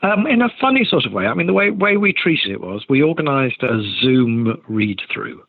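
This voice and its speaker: A man's voice: mid-range voice, big pitch swings, moderate pace. An older man, a theatre director, answers interview questions conversationally in a discursive way with many qualifications, over a remote call. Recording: tinny phone-like line, roomy echo.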